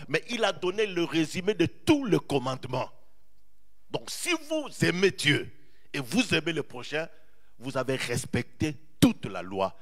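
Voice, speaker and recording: Man preaching, fairly high voice, very lively swinging pitch, stretches key words. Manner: animated preaching, repeats phrases, draws out key words. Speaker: pastor. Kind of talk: sermon.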